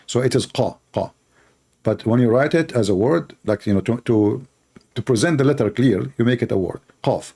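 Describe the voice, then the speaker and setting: Man, Arabic first language, mid-pitched voice, sarcastic commentary, scoffing. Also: normal speed, vocal fry, warm tone, slightly rough. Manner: sarcastic, laughs often. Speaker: online debater. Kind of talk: mocking commentary.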